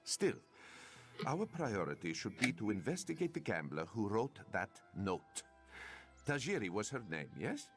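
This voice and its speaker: Male feline-humanoid companion, creaky, very animated pitch, formal and thoughtful, fantasy game. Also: faint music behind. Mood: thoughtful, decisive. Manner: speaks of himself in third person, measured. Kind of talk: fantasy game character roleplay.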